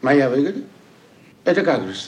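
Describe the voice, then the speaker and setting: An actor playing an aggrieved, hot-headed young suitor in a classic radio play, petulant and sarcastic in a theatrical way. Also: male voice, on the high side, sing-song, normal speed. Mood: sulky. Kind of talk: classic Russian literary radio play acting.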